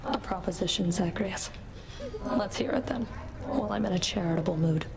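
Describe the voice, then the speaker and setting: A woman's voice: mid-range voice, expressive, moderate pace, vocal fry, loud music behind the voice. A woman voicing a cool, stern Fury in a video game, haughty, curt and controlled. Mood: coolly amused. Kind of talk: video game character acting.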